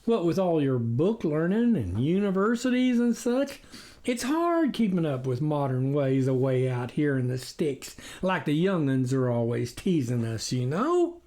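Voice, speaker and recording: A folksy storybook farmer acted out by a narrator, a gullible country man. He muses aloud in plain rustic talk, and his pitch rises and falls freely. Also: very animated, measured pace, warm tone. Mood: humble. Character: folksy, gullible older male farmer, slow to doubt.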